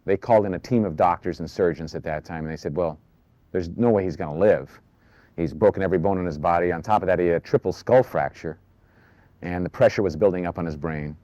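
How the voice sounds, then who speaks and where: A man's voice: low voice, fast, warm tone, no room sound. An American man recalling family history in an interview, on a dull recording. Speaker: interviewee recalling his father. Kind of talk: interview recollection.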